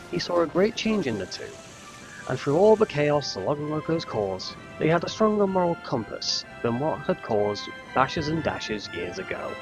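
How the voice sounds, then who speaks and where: A man reading scripted narration for a children's railway audio drama, like a storybook, with a gravelly edge. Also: fairly high pitch.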